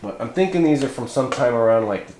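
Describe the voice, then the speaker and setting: A man talks in an echoey room with faint noise behind him. His voice sits at an average pitch, and he delivers a casual product review in a rambling, folksy manner. He sounds like a laid-back hobbyist showing off gear. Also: animated, fast. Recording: echoey room, noticeable background hiss.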